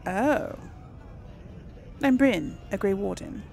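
Woman doing a casual let's play, slow chatty rambling, lots of vocal fry. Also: medium pitch, lively intonation, darkish tone, a little hiss or hum. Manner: thinks aloud, hesitant, chatty. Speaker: gaming video host.

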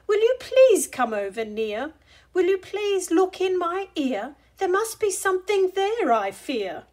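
A woman's voice: very high voice, sing-song, measured pace, darkish tone, pitched above the performer's own voice, exaggerated voice. A woman voicing a worried, pleading storybook creature in a plaintive, fretful way. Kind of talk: children's picture book read-aloud.

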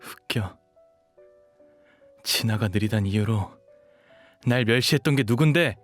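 A man's voice: on the low side, unhurried, faint music behind, natural voice. A young man playing an earnest, protective dog shifter in a romantic audio drama. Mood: hurt, defiant. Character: gentle, devoted young dog shifter, wounded but protective.

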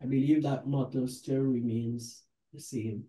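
A middle-aged man with a Guyanese accent hosting a talk show in deliberate, halting phrases from an office. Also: medium pitch, steady tone, mellow. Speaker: host, reverend. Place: book-lined office. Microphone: video call. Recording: roomy, echoey sound.

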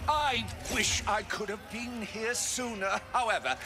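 A man playing a gloating, theatrical robot emperor in a video game, with a pompous, drawn-out delivery. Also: sits high, music in the background. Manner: pompous, grandiose, drawn-out showmanship. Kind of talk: animated video game acting.

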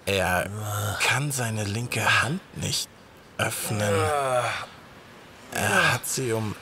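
A man's voice: fairly low pitch, big pitch swings, drawn-out words, pushed and bright, heavily acted. A man playing a puzzled warrior in an audio drama, with noisy effects under his voice. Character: puzzled male warrior examining a fallen comrade.

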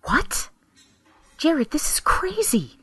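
An actress playing a worried, exasperated partner in an audio drama, pleading intensely in a breathy, husky voice. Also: female voice, sits high, wildly swooping pitch, slow pace, pushed and bright, whispered, soft background music, full character voice. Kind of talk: emotional audio drama acting.

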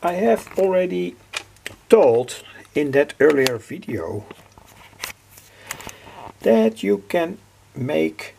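Gravelly older man, slow and halting. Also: a little high, big pitch swings, a little mellow, a little hiss or hum. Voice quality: gravelly, husky. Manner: halting, repeats phrases, frequently says "say". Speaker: electronics hobbyist presenter. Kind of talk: informal technical explanation.

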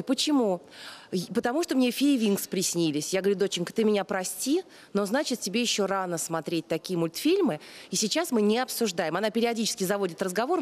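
A woman in her thirties telling things conversationally as a studio guest on a handheld mic. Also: mid-range voice. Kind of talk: conversational anecdote.

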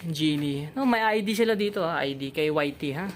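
A man's voice: on the high side, animated, brisk, a crowd in the background. A man with a Filipino accent gives a casual shop tour as a vlogger, often echoing what he is told. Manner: repeats and echoes what he is told. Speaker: motorcycle vlogger and host. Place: motorcycle accessory shop. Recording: noisy location sound with traffic ambience.

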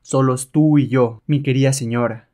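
A man's voice: mid-range voice, fairly even intonation, normal speed, dark tone, lightly acted. A man playing a sly, rebellious male adventurer held as a slave, mocking and self-assured, in a comic-book reading. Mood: gloating, threatening.

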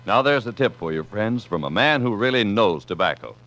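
An American man delivering a 1950s radio advertising pitch as commercial announcer. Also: lively intonation, brisk, fairly bright tone. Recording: muffled vintage radio broadcast.

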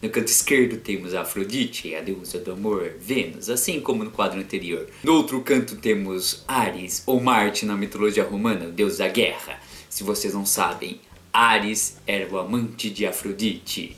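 A man's voice: fairly low pitch, moderate pace, a bit bright, echoey room, a little hiss or hum. A young Brazilian man, an art teacher and cartoonist, talks playfully and self-mockingly to his webcam about art, swinging his voice up and down for drama. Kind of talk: theatrical educational vlog. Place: small bedroom with bookshelf. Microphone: webcam framing, seated facing camera.

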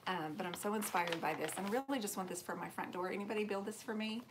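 A woman's voice: medium pitch, quick pace. A woman in her forties with a mid-range voice talks in a rambling, friendly way during a live painting stream, drifting toward and away from the camera mic as she works. A faint background hiss sits under her voice in an indoor home workshop. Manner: chatty, rambling, answers viewer comments aloud. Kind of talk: casual live-stream tutorial chat. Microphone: camera mic, moves toward and away from it.

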